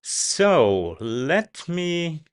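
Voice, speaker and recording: Slow-talking Swiss host. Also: male voice, a little high, sing-song, clear, resonant tone. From Switzerland. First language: Swiss German. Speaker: show host. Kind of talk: live-streamed interview.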